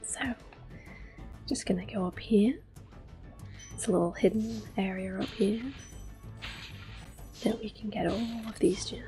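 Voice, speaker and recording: A woman giving gentle, unhurried ASMR gameplay commentary in a soft, whispered voice, reassuring and close to the microphone. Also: mid-range voice, fairly even intonation, soft background music. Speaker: ASMR gaming host.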